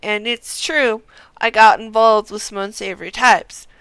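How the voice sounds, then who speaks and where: Woman reading as an earnest, slow-spoken male fighter. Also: mid-range voice, steady tone, a bit bright, clear, resonant tone, no echo, natural voice, low-quality recording. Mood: earnest, defensive. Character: earnest male refugee fighter, devoted to his ailing master.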